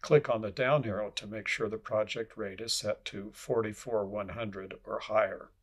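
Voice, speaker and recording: Guy reading a how-to script, formal and measured, husky creaky voice. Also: lowish voice, fairly even intonation, fairly bright tone. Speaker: instructor. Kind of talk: scripted instructional narration.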